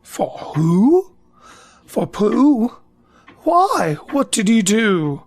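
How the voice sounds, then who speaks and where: A woman's voice: on the high side, big pitch swings, very slow, a little mellow, full character voice. An actor, a woman, playing a naive, self-important, food-loving bear in a children's audio drama, musing in a hesitant singsong. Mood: singsong, puzzled.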